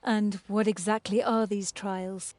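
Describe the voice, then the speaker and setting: An actress playing a determined, assertive young heroine in a game cutscene. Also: female voice, medium pitch, level tone, unhurried, darkish tone, dry recording, close to an everyday voice. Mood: resolute. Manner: direct, assertive. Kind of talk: scripted game cutscene acting.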